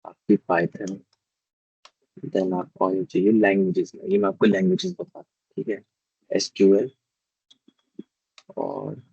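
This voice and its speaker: A hoarse man teaches a live online class in a very low, husky voice with a gravelly edge. He explains things step by step and keeps checking that his students are following. The recording is dry and dull, with a lo-fi sound. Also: dark tone, dry recording.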